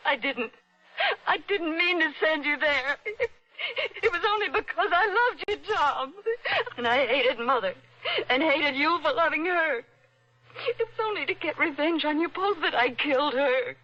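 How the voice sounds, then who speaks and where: A woman's voice: sits high, animated, a little slow, pauses often, sharp tone, full character voice. An actress playing a desperate young woman who sobs and pleads as she confesses to a murder, in 1950s radio drama style. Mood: pleading, sobbing. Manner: sobbing, frantic, breathless pleading. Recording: muffled noisy old radio broadcast.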